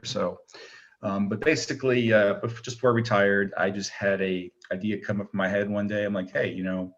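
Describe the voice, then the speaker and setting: A middle-aged man with a low voice tells his story at an easy pace. He wanders through his answers, full of fillers and restarts. The sound is dull and lo-fi. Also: a bit bright. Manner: rambling, many fillers and restarts. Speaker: guest, actor and retired military pilot. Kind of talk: casual interview answers.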